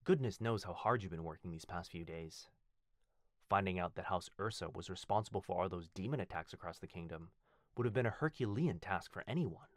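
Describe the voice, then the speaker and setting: A man performs a loyal, courteous butler in an intimate ASMR roleplay. His low voice swings expressively as he speaks with formal deference and fond, gentle teasing. The sound is dry, with no room around it. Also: lively intonation, a little fast, no echo. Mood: warm, devoted. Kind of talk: ASMR boyfriend roleplay, scripted acting.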